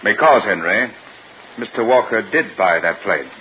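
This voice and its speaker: A man's voice: lowish voice, animated, a little slow, pushed and bright. An actor playing a stern, exasperated middle-aged father who questions firmly, in a 1940s radio comedy on an old recording. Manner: firm, questioning, authoritative. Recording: noisy vintage 1940 radio broadcast recording.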